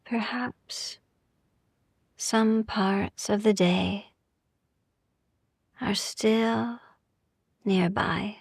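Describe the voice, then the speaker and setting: Very slow, hushed breathy woman, near whisper. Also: mid-range voice, steady tone, no echo, studio quality. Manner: slow, gentle, soothing, with long pauses. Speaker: sleep meditation podcast host. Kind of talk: soft ASMR-style guided sleep meditation.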